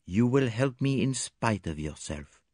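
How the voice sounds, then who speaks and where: A man voicing a calm, shrewd male detective in a storybook reading, formal, measured and gently insistent. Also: medium pitch, breathy edge, dry recording, studio quality, voice pushed up. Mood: quietly confident. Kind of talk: audiobook character dialogue.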